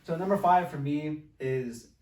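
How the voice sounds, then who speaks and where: A man's voice: a little high, unhurried, darkish tone. A man, chatting casually and slangily as a film podcast co-host, recorded in an echoey room at some distance from the microphone. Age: 20s. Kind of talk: casual chat. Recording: roomy echo, distant from microphone.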